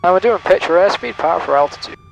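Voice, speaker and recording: A man's voice: high-pitched, quick pace, gravelly, dull audio. A man piloting a small plane, talking through each manoeuvre in clipped, repetitive checklist phrases and making radio calls. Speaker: pilot and flying vlogger. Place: small plane cockpit in flight. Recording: loud engine noise in small aircraft. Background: loud steady aircraft engine and radio.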